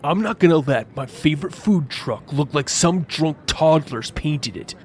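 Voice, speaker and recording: A man's voice: on the high side, expressive, measured pace. A man playing a snobbish, bored teenage bully in an audio drama, blunt and dismissive. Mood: scornful. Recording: clear background noise, outdoor ambience.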